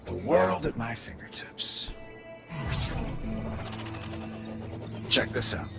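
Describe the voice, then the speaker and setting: An actor playing a cocky, ruthless artificial intelligence assistant in a video game, brash, showy and sarcastic. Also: male voice, mid-range voice, sing-song, moderate pace, creaky, over music. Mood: breezy, smug. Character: cocky, ruthless, wisecracking AI assistant of a weapons maker.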